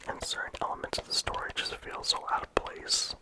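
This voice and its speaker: A game reviewer talking casually in a soft ASMR whisper, with frequent "like" and "um" fillers. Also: fairly quick. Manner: soft unvoiced whisper throughout.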